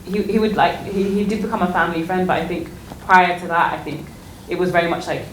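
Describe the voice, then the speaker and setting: A woman speaking on a panel in an informal discussion, haltingly, repeating words as she thinks. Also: fairly low pitch, steady tone. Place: indoor public exhibition discussion. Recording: roomy live event, background noise.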